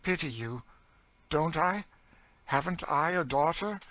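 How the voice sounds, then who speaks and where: A man's voice: a little high, expressive, slow, fairly bright tone, pitched above the performer's own voice. A man voicing a bitter, despairing, world-weary enslaved woman in an audiobook, with a dry, emphatic delivery. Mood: bitter, impassioned. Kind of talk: dramatised audiobook reading of a classic novel.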